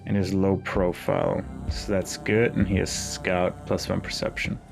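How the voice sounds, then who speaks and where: Low, gravelly man musing over a game. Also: little pitch movement, a little slow. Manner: hesitant, musing, reads game text aloud. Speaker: gaming video host. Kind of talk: casual game commentary, thinking aloud. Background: game music.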